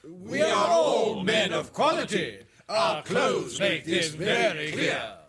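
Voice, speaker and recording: A man's voice: high-pitched, huge pitch swings, takes it slowly, shouting, a loud crowd, full character voice. A man playing a self-important parliamentary chairman in a satirical radio drama, declaiming with mock solemnity. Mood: boastful.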